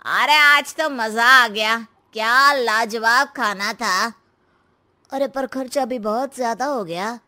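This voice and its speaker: A woman's voice: fairly high pitch, takes it slowly, pushed and bright, no echo, exaggerated voice. A woman playing an easygoing, exclaiming male friend in a Hindi cartoon moral story.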